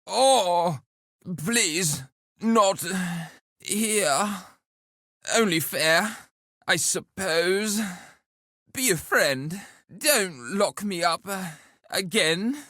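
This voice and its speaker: An actor playing a cocky, loquacious duelist and schemer in a video game, with a wry, flippant, theatrical delivery. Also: male voice, high voice, expressive, very slow, pauses often, pushed and bright, dry recording, studio quality, exaggerated voice. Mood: rueful, resigned.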